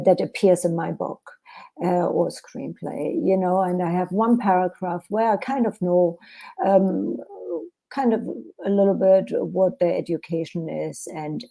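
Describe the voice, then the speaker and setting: A woman's voice: on the low side, vocal fry, warm tone. A woman from Germany with a German accent, an author talking through her writing process in a podcast interview with many fillers and restarts. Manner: thoughtful, hesitant with fillers and restarts. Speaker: author guest.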